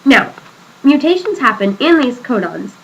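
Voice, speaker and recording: A woman's voice: a little high, big pitch swings, moderate pace, creaky, a bit bright, muffled recording, a little hiss or hum. A teenage girl hosting a school project skit, explaining cheerfully. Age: teens. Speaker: student interviewer.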